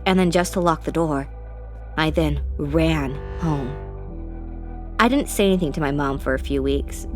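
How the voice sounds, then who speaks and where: A woman narrates a ghost story in a low-pitched voice. Music plays faintly behind her as she reads the scripted first-person account. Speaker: narrator reading listener-submitted ghost stories. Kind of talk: scripted narration of scary stories.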